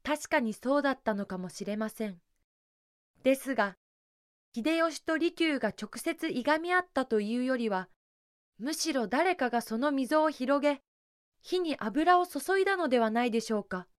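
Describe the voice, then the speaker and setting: High-voiced female drama narrator. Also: lively intonation, moderate pace, clean tone, no echo, studio quality. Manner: formal, storytelling. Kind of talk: scripted historical drama narration.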